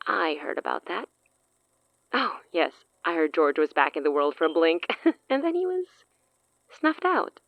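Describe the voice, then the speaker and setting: A woman's voice: on the low side, big pitch swings, unhurried, gravelly, pauses often, no room sound, highs cut off, heavily acted. A woman playing a smug, menacing sorceress in an audio drama, theatrical and taunting with amused condescension. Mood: taunting amusement.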